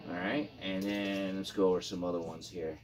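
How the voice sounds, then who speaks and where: A man's voice: low-pitched, flat delivery, normal speed. A man reviewing guitar gear in a casual, informal and rambling product demo style with some enthusiasm.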